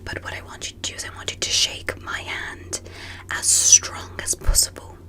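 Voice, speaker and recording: A woman playing a gentle, curious alien doctor in a whispered ASMR medical roleplay, speaking softly and breathily with careful, reassuring, formal phrasing. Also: normal speed. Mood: gentle, encouraging.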